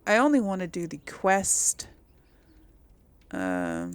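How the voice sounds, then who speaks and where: A woman's voice: lowish voice, wildly swooping pitch, slow pace, dry recording. A woman giving casual, chatty gameplay commentary as host, musing aloud and often trailing off. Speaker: gaming video host.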